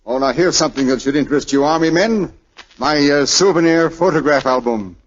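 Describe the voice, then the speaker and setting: A man plays a hearty, chuckling admiral in an old radio play, genially long-winded. The voice sits fairly high on a muffled broadcast. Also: a little fast, lightly acted. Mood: genial, pompous. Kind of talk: 1940s radio drama acting.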